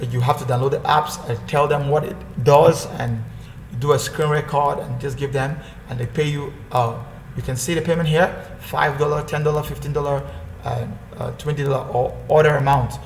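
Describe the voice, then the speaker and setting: A man with a fairly high voice walks through a screen recording in a loose, rambling way, often restarting and repeating himself. His voice rings in an echoey room, with a faint hum underneath. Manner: rambling, self-correcting, repeats phrases. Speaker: online earning tutorial host. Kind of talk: casual screen-share walkthrough.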